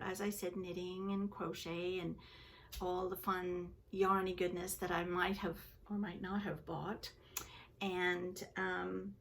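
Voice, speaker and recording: Older lady from england, liverpool accent mostly gone, rambly knitting chat at home, bit gravelly, faint hiss. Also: on the low side, low-quality recording. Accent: Canadian-influenced English, originally from Liverpool. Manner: chatty, rambling, self-deprecating. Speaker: knitting podcast host. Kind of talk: casual chat to camera. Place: home, seated indoors.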